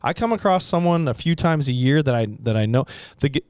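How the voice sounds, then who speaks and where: A man's voice: on the high side, lively intonation, brisk, a little mellow, smooth, dry recording, clean studio sound. A man from the Portland area, co-hosting a podcast in casual chat, rambling through stories with many restarts and fillers. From Portland, Oregon area. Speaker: podcast co-host, gun shop staff.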